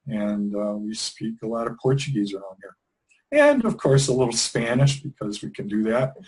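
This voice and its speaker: A man's voice: lowish voice, normal speed, low-quality recording. A middle-aged man, talking in an interview in an easygoing, rambling way, often restarting his sentences. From Wisconsin, USA. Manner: relaxed, rambling, with frequent restarts and fillers. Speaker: interview guest, English teacher trainer. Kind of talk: conversational interview.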